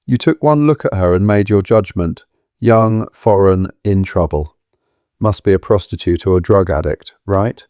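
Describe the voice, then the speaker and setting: A man's voice: low-pitched, normal speed, warm tone, no echo, studio quality, natural voice. A man playing a protective, firm character with a simmering temper in an audio drama, terse and tight-voiced. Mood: controlled fury.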